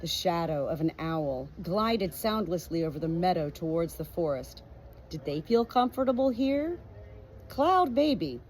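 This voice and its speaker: A woman reading an audiobook as an expressive storybook narrator. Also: lowish voice, measured pace, a little hiss or hum, rough recording. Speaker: audiobook reader.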